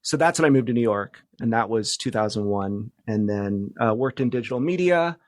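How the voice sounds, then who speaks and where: A man's voice: mid-range voice, expressive, brisk, no room sound, studio quality. A middle-aged American man from California, recounting his career casually as an interview guest. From California, United States. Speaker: podcast guest, journalist.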